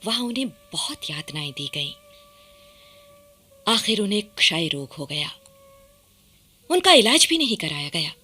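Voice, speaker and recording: A woman narrates a scripted historical radio drama in a formal storytelling manner. Her pitch is average for a woman but moves in an animated way, and the tone is bright and forceful. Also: measured pace, long pauses, faint music behind.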